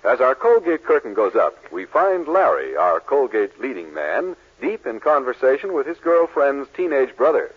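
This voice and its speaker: A man's voice: mid-range voice, wildly swooping pitch, normal speed, few pauses. A man announcing a 1940s radio advertisement in a polished, emphatic manner. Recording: tinny, rough vintage radio recording.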